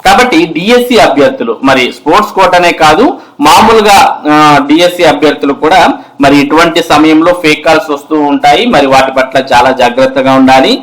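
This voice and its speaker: Higher-pitched man giving an explanatory news update. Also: a little fast, poor audio. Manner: instructional, addresses viewers directly. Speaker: host of an education and job news channel.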